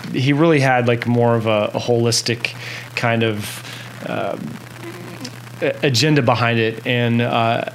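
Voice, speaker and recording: Male host, casual and hesitant delivery, average pitch. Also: slight background noise, rough recording. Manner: hesitant, many ums and restarts. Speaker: channel host. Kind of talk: casual vlog intro.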